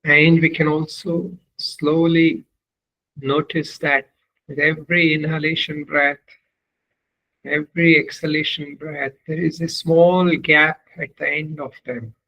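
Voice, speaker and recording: A man leading a guided meditation as a teacher, speaking in measured, deliberate phrases with long pauses between them. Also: fairly high pitch, steady tone, muffled recording.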